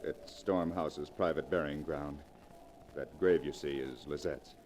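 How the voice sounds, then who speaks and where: An actor playing an earnest, protective husband in a 1940s radio drama, with dramatic delivery. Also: male voice, a bass voice, steady tone, unhurried, darkish tone. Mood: somber, measured. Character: painter husband, earnest, solicitous, somber man. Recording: noisy 1940s radio recording.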